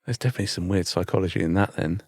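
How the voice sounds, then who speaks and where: A British man with a fairly low voice talks casually as a podcast co-host, recorded close and dry. He rambles in a bemused way, often reaching for the right word. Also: racing, darkish tone, no echo. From United Kingdom.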